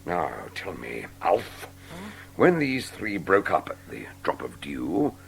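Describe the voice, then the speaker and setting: An actor playing an imperious, impatient villain and gang boss in an audio drama, with a gravelly voice and a menacing, questioning manner. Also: male voice, deep voice, wildly swooping pitch, slow, a little hiss or hum, exaggerated voice. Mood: interrogating.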